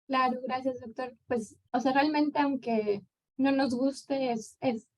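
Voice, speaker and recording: A woman in her thirties from Mexico with a Mexican accent, answering questions as a guest lecturer in a relaxed, conversational way. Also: high-pitched, slow, poor audio. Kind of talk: conference Q&A answer.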